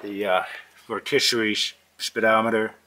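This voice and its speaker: A man filming a walkthrough of an old barn, narrating in a calm, matter-of-fact way with pauses, recorded on a handheld camera inside a metal barn. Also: on the low side. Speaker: vlogger filming a barn find. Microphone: handheld camera mic.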